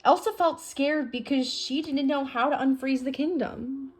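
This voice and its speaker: A woman's voice: sits high, fairly even intonation, normal speed. A woman reading a children's storybook aloud as a narrator. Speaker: storybook reader. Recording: faint music behind the voice. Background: music.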